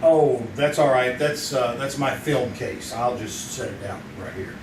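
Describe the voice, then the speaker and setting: A man's voice: mid-range voice, measured pace, close to an everyday voice. A man playing a brash, loyal crime photographer in live old-time radio drama, with slangy, clipped tough-guy talk. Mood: grim, matter-of-fact. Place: theater stage, live performance. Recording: roomy, distant stage recording with hiss.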